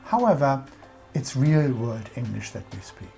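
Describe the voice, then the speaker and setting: A woman's voice: a bass voice, sing-song, unhurried, vocal fry, mellow, faint music behind. A woman with a German accent, narrating a scripted explainer about English culture in an instructive, emphatic way.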